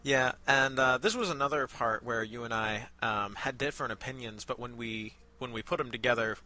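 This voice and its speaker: A man's voice: medium pitch, normal speed, pushed and bright. A man co-hosting a casual review show, talking informally and with enthusiasm. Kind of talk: casual chat, reviewing amateur voice acting.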